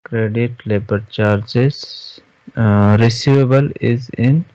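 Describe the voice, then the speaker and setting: Male software trainer, dull lo-fi sound. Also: mid-range voice, steady tone, no room sound. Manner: halting, frequently checks understanding with questions. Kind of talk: informal screen-share tutorial, explaining step by step. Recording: dull, lo-fi online class audio.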